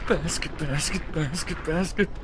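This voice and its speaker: A man's voice: a little high, normal speed, natural voice. An actor playing a nervous, rambling male villager hiding under a basket in a video game, heard over noisy ambience. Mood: frightened.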